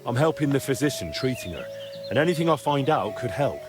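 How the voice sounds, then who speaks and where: Earnest young man played by an actor, lively intonation. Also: mid-range voice, brisk, over music, close to an everyday voice. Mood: inquisitive. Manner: direct, questioning, reassuring yet pressing. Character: earnest, probing young medieval male investigator. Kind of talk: naturalistic video game drama acting. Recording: clear background ambient noise.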